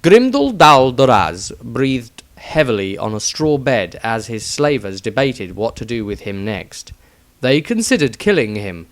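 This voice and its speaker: Man, mid-pitched, dramatic fantasy narration, lively intonation, scripted storytelling. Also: a little slow, no room sound. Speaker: narrator and author.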